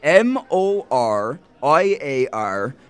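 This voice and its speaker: An actor in an audio drama playing a sly, genteel criminal mastermind with a suave, mock-polite manner. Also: male voice, mid-range voice, big pitch swings, drawn-out words, smooth. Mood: exasperated, grand. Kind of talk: audio theatre acting.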